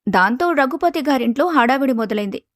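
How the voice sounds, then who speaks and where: A woman's voice: high-pitched, animated, a little fast, dry recording, clean studio sound. A woman reading scripted storybook narration as the narrator of a family tale.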